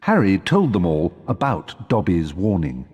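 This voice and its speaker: A British man playing a measured storyteller who narrates a wizard tale for a video game. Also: low-pitched, big pitch swings, a little mellow, husky, long reverb tail, heavily acted. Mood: storytelling.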